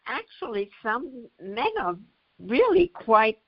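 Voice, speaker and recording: An older woman from the United States speaking as an interview guest, pausing often mid-phrase as she recollects and explains. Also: a little high, big pitch swings, slightly rough. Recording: slightly dull, lo-fi audio.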